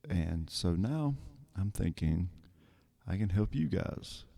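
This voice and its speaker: A man with a soothing voice reads slowly and calmly in a soft ASMR style. His voice is very deep and dark, with a gravelly edge. The delivery is unhurried. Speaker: ASMR creator. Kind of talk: soft-spoken ASMR reading of song lyrics.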